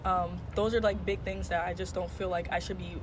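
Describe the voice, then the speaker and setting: A woman's voice: lowish voice, flat delivery, a little fast, background noise. A woman in her twenties, a voter interviewed outdoors, talking casually and thoughtfully with many fillers. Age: 20s.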